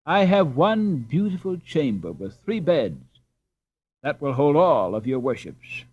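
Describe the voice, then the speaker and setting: A man's voice: on the high side, very animated, a little slow, a little mellow, breathy edge, muffled recording, exaggerated voice. A man voicing a fawning, coaxing innkeeper in a storybook, with servile deference.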